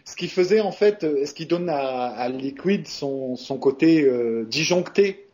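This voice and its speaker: A man's voice: fairly high pitch, animated, a little slow, darkish tone. A man from France, a voice actor, answering interview questions in a hesitant, casual way with many fillers and restarts. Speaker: voice actor guest. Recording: muffled lo-fi recording.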